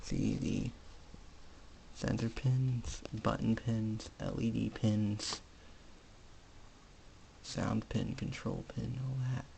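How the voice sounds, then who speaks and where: A man giving a casual hands-on electronics demonstration, speaking haltingly in short piecemeal phrases. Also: fairly low pitch, steady tone, vocal fry, dark tone. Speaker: hobbyist presenter. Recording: faint background noise with clicks.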